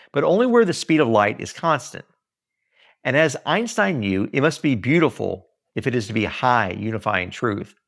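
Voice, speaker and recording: A man's voice: mid-range voice, fairly bright tone. Male author-narrator, mid-range voice, very varied intonation, earnest preacher-like emphasis for a self-read audiobook. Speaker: author reading own book aloud. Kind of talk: expressive audiobook-style reading.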